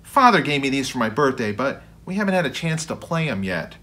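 A man's voice: mid-range voice, sing-song, brisk, pushed and bright. A man voicing an earnest, polite, innocent young boy in a picture book, speaking plainly. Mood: eager.

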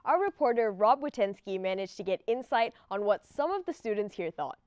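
A young woman hosting a student television talk show from a studio set, friendly and quick to laugh. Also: medium pitch, big pitch swings, a little mellow, smooth, dry recording. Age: young adult. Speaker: host.

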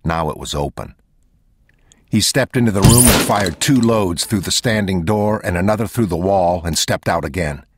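A man narrating an audiobook in a measured, unhurried reading. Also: deep voice, very noisy.

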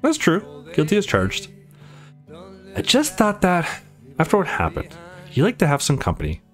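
A man's voice: on the high side, wildly swooping pitch, moderate pace, faint music behind, voice pushed up. A man voicing an earnest, protective young hero in a visual novel, conversational and sincere. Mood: gentle.

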